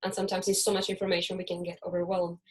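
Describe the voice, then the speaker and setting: A woman's voice: fairly low pitch, monotone, rapid-fire. Chilean-born woman hosting a video panel. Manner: chatty, restarts sentences. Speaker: host. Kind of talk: casual online panel chat.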